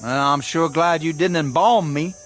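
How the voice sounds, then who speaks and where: A man's voice: on the high side, moderate pace, fairly bright tone, soft background music, natural voice. An actor playing a plain-spoken, indignant young country man in a radio drama, with a folksy rural American accent. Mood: incredulous. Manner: folksy, incredulous.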